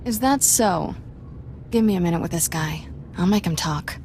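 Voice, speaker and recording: A woman's voice: fairly low pitch, lively intonation, moderate pace, a little mellow, slight background noise. An actress playing a cocky, wisecracking young female agent in a video game, with a wry, breezy manner. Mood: confident. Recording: music playing behind.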